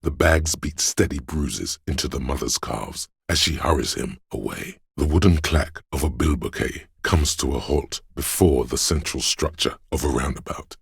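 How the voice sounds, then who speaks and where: Brooding omniscient city spirit for a video game: gravelly, measured, poetic narration, recorded very dry and close. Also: male voice, no room sound, exaggerated voice. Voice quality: gravelly, husky, very deep. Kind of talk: slow atmospheric second-person narration.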